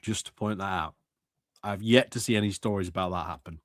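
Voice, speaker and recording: A man's voice: low-pitched, brisk, no echo, clean studio sound. A man giving casual, emphatic political commentary as a host, often repeating points for stress. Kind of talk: casual political commentary over a played clip.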